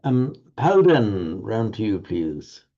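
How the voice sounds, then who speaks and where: A man teaching Sanskrit pronunciation in an online group lesson, halting and restarting words, encouraging his students. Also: fairly low pitch, sing-song, dark tone, highs cut off.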